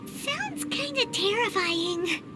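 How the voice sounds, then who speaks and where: A woman voicing a tiny childlike fairy companion, anxious but plucky, with a squeaky voice in a video game. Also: big pitch swings, takes it slowly, exaggerated voice. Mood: nervous, doubtful. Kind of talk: video game dialogue acting. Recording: music loud behind the voice. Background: game music.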